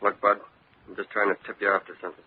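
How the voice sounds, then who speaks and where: An actor playing a gruff, blunt working-class crew boss in an old radio drama, with a husky voice and slangy talk. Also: male voice, fairly low pitch, completely flat delivery, rapid-fire, a little hiss or hum, lightly acted. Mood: gruff, wary. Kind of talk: 1940s radio acting. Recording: muffled old radio recording.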